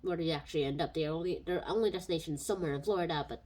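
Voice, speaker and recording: A woman's voice: sits low, racing, darkish tone, slightly rough. A woman giving a casual spoken book review into a home microphone, rambling and thinking aloud.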